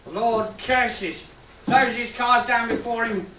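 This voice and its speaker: A woman's voice: on the high side, slow pace, pushed and bright. A woman playing a proud, gushing relative in an amateur pantomime, with emphatic, declamatory stage delivery. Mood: dramatic. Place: theatre or hall stage with audience. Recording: echoey hall, distant mic, faint noise.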